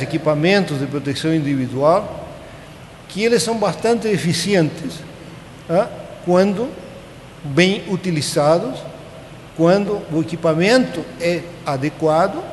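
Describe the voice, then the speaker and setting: A man in his sixties from Chile, a native Spanish speaker with a Chilean accent, gives an informal technical lecture in a halting manner, often checking in with tag questions. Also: fairly high pitch, darkish tone. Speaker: conference speaker. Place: large conference auditorium. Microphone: podium gooseneck microphone. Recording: echoey auditorium with clear background noise.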